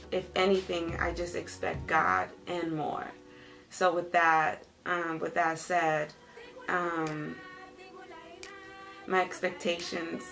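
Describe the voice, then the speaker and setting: Young woman from El Salvador, low flat voice, slow with pauses, music behind. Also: vocal fry. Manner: slow, searching, many pauses. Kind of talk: interview. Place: indoors, seated on a couch before a red wall.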